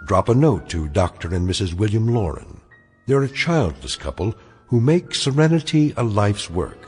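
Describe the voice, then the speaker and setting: A man's voice: lowish voice, sing-song, moderate pace, darkish tone, husky. A man delivering a dry, sardonic scripted closing narration, music beneath. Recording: with music underneath.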